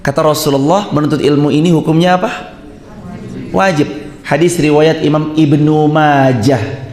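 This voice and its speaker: A man's voice: fairly high pitch, steady tone, normal speed, darkish tone, reverberant. A male preacher giving an emphatic mosque sermon, quoting Arabic and putting questions to the congregation.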